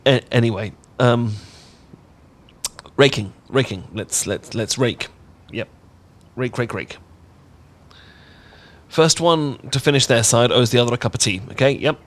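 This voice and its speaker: A man's voice: medium pitch, a little slow, pauses often, natural voice. A man with an English accent acting a bashful, affectionate young roommate in an intimate ASMR roleplay, chatty and stammering when flustered. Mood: flustered, playful. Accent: British, English. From England. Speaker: audio roleplay voice actor.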